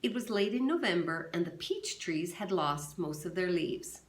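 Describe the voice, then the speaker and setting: A woman teacher reading a novel aloud to her class and giving clear, measured instructions. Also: on the low side, animated, a little hiss or hum. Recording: echoey room.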